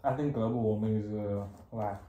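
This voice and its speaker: A man's voice: lowish voice, fairly even intonation, fairly quick, mellow, reverberant, highs cut off. A young man chatting casually at home in a teasing, offhand way. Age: young adult. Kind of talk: casual chat. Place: room indoors at home. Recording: music playing underneath. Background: background music.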